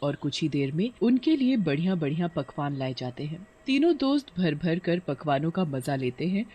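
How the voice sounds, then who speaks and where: A man's voice: on the high side, sing-song, moderate pace, mellow, breathy edge. A man narrating a scripted horror cartoon story as storyteller.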